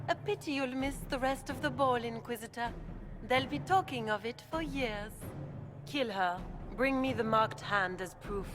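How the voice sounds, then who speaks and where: Game villainess, played by a woman: scheming highborn lady, poised, smug, courtly mockery, clear music underneath. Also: moderate pace, close to an everyday voice. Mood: coldly gloating. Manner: courtly, mocking politeness. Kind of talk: video game cutscene acting.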